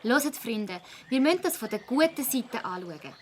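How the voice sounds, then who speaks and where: A woman's voice: a little high, expressive, moderate pace, a little hiss or hum, lightly acted. A woman playing an optimistic, determined girl in a children's audio drama, with a Swiss accent and an eager, persuasive manner. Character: optimistic, determined young girl among adventuring friends.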